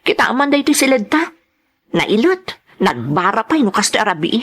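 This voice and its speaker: A woman's voice: mid-range voice, big pitch swings, moderate pace, fairly bright tone. An actress playing an ambitious, discontented young wife and mother in a radio drama, complaining and insistent. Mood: frustrated, complaining.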